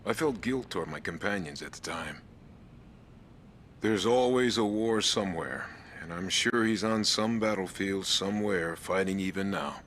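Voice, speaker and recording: Casting: a humbled, candid former flying ace, deep voice, game monologue over faint rumble. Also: male voice, normal speed, fairly bright tone. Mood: haunted, reflective. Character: humbled male former ace pilot confessing his fear. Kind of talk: dramatic interview-style monologue in a video game.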